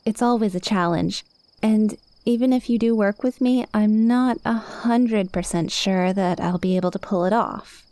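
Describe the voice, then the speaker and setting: A woman's voice: medium pitch, moderate pace, mellow, no echo, close to an everyday voice. A woman playing a cute, playful witch in an ASMR roleplay, speaking confidently and coaxingly straight to the listener. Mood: earnest, coaxing. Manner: conversational, teasing, coaxing.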